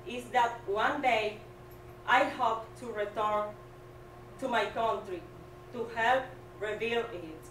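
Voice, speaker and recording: A woman with a Venezuelan Spanish accent reads a graduation speech in a slow, earnest, careful way, her voice sitting a little high. She stands at a stand mic in a small hall. The sound is roomy and echoey, and she is heard from some distance off the mic. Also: a bit bright, a little hiss or hum. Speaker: graduating student. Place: small hall with curtain backdrop. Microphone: stand mic, filmed from a distance.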